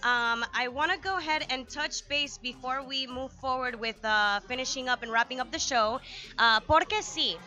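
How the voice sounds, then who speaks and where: A woman's voice: high-pitched, moderate pace, bright, forceful tone. A young woman from Venezuela hosting a live remote radio broadcast from a lounge, upbeat as she thanks sponsors and listeners into a desk mic. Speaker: radio show host. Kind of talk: casual radio talk with sign-offs. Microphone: desk microphone on stand.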